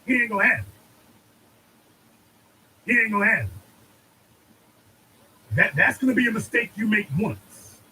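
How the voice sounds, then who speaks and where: A man's voice: high voice, expressive, normal speed, long pauses, sharp tone, slightly rough, rough recording. A man giving an emphatic political monologue, preaching and repeating lines for effect. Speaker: commentator.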